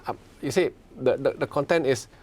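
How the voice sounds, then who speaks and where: A man's voice: mid-range voice, moderate pace, darkish tone, breathy edge. Searching, restarting male interviewer. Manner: searching phrasing, long questions, mid-sentence restarts.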